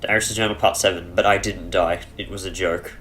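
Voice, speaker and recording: A man giving casual, rambling commentary over a dungeon game on a headset mic, chesty and clearing his throat, with dry humor. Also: deep voice, fairly even intonation, a little fast, fairly bright tone, a little hiss or hum. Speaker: let's play gamer.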